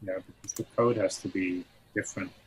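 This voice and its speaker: A man's voice: sits low, fairly even intonation, a little fast, rough recording. A man making short, casual remarks on an online video call. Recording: clear background noise and room ambience.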